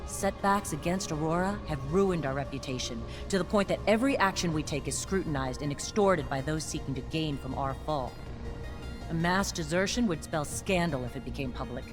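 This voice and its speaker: A woman playing a stern, ruthless military captain in a video game, giving clipped, authoritative orders. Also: deep voice. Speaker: army captain briefing her unit. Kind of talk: video game dramatic acting.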